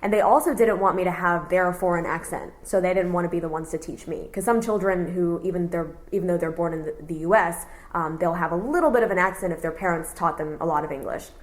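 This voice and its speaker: A woman in her thirties from Texas, whose first language was Cantonese, recounting her life in an oral history interview, relaxed and rambling with many fillers. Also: lowish voice, fast talker, few pauses. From Houston, Texas. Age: 30s.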